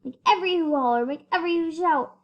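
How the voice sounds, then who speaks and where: A female reader voicing an earnest, loyal elephant in a children's storybook, with loud, emphatic delivery. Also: extremely high pitch, quick pace, dull audio, pitched above the performer's own voice. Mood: urgent pleading.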